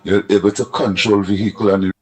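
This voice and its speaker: A man's voice: on the low side, level tone, fast. A Trinidadian man leaving a voice note for a call-in show, ranting in an emphatic, repetitive, insistent way. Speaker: caller in a voice note. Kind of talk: call-in rant.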